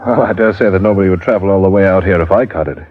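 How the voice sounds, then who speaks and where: Breezy colonial Englishman of thirty acted by a man, quick animated speech, stiff understatement, muffled old radio drama. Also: low-pitched, music in the background, close to an everyday voice. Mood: brisk, unruffled. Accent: British. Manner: jaunty, understated. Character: breezy British estate manager around thirty. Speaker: supporting actor.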